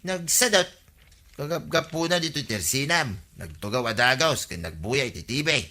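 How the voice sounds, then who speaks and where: A man's voice: medium pitch, expressive, normal speed, pushed and bright. A man playing a grumbling, pushy village drinking buddy in a comedy radio drama, complaining and cajoling with an Ilocano accent.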